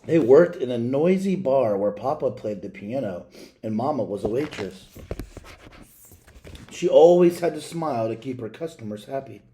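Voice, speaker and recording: Male storybook reader, warm dark timbre, dramatic and deliberate delivery. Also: medium pitch, lively intonation, a little hiss or hum. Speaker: read-aloud reader. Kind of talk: storybook read-aloud, expressive narration.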